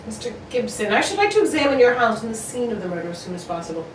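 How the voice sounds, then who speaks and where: A woman playing a shrewd, probing gentleman detective in a formal radio-play style. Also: fairly high pitch, big pitch swings, very fast. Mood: brisk, thoughtful. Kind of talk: amateur radio-play acting. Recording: roomy, distant, noisy live recording.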